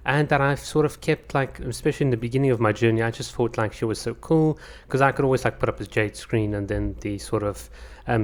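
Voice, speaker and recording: A man hosting his channel, reading out viewer comments and chatting about them casually, with lots of fillers and restarts; English is not his first language. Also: mid-range voice. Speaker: channel host.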